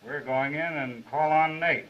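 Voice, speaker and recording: An actor as a slow, menacing 1930s gangster. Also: male voice, fairly high pitch, close to an everyday voice. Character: menacing male gangster with a gun, cool and threatening. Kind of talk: 1930s crime film acting. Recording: dull, muffled 1930s film soundtrack.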